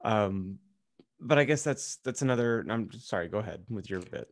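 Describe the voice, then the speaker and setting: A man's voice: lowish voice, expressive, normal speed, no room sound, clean studio sound. A man co-hosting a podcast in casual, conversational talk. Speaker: podcast co-host. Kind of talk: casual chat.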